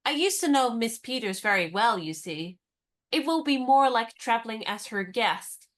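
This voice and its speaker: A woman's voice: a little high, animated, moderate pace, lightly acted. A woman acting a cool, poised and inquisitive young woman in a period radio play. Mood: curious, brisk.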